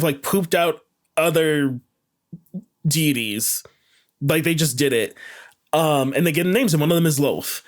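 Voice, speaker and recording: A man chatting casually as a podcast panelist, retelling game lore in a slangy, irreverent way and acting out dialogue. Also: a little high.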